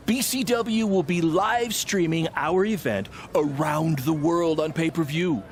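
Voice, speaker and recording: A man playing a brash, scheming wrestling promoter in a video game cutscene, talking like a self-satisfied, theatrical salesman. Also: a little high. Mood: boastful.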